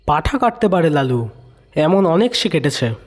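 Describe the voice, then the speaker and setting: Casting: male narrator as worried, begging villager, high and very animated, urgent delivery for audio drama. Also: normal speed. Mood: urging. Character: anxious, pleading villager begging for help.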